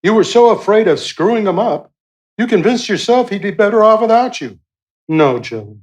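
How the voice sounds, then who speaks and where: God for a radio play, in a slightly high male voice. He is wry and affectionate, chiding with a folksy, teasing reassurance. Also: expressive, moderate pace. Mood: earnest, gentle.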